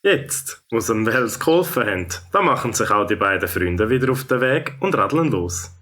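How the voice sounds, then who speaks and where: A man's voice: mid-range voice, big pitch swings, normal speed, pushed and bright. A man with a Swiss German accent narrating a scripted children's story.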